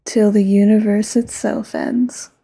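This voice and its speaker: A woman's voice: mid-range voice, little pitch movement, a little slow. A woman voicing an obsessive, possessive immortal lover in a whispered audio roleplay, sweetly menacing. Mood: doting. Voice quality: soft breathy whisper. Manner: intimate, sweetly menacing, sudden flares.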